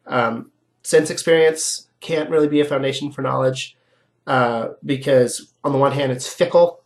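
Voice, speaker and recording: A male lecturer with a slightly stuffy nose walks students through ideas in a relaxed, explanatory way. His speaking voice sits at an ordinary middle pitch, and he checks in with the class as he talks. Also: normal speed. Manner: casual and explanatory, with frequent "um" and "right?". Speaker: philosophy lecturer. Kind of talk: recorded online lecture.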